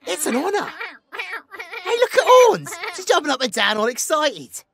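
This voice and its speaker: A woman playing a cheeky, excitable young village bard with a British accent, chatty and colloquial in a fantasy audio drama. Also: very high-pitched, moderate pace, gravelly, heavily acted. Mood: playful, excited. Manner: animated, colloquial, playful. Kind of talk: fantasy audio roleplay acting.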